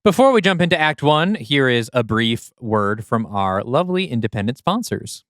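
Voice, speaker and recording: A man's voice: medium pitch, very animated, moderate pace, heavy background noise. A man co-hosting a podcast, asking curious follow-up questions and leading into the sponsor break. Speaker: podcast co-host.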